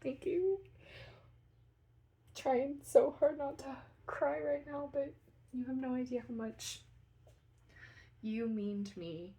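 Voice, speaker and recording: A woman's voice: fairly high pitch, animated, darkish tone. A woman playing an emotional assistant bank manager who is holding back tears. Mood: tearful. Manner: tearful, breathy, halting.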